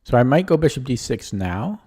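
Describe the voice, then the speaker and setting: A man's voice: mid-range voice, big pitch swings, normal speed, dark tone, breathy edge. A man streaming chess who thinks aloud in a casual, hesitant way, his voice a little strained from talking nonstop. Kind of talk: casual live-stream commentary.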